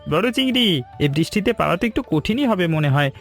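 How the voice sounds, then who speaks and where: A man voices a warm young village shopkeeper in a cartoon. He has a notably high male voice and a familiar, welcoming manner, with faint music underneath. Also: expressive, fairly quick, vocal fry. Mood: friendly, welcoming. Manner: familiar, hospitable. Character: warm young village shop owner, old friend. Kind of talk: cartoon story dialogue.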